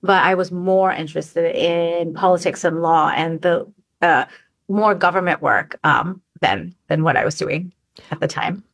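A woman's voice: lowish voice, a little slow, gravelly, a bit bright. A woman in her forties, from Bangladesh and New York, answering casually as an interview guest. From born in Bangladesh, New Yorker. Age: 40s.

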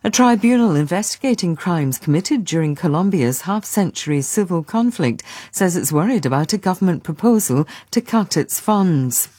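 A woman reads a radio news bulletin in a formal, measured style. Her voice is very low and mellow. The studio sound is dry and close. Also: expressive, no echo. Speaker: newsreader. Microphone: studio mic.

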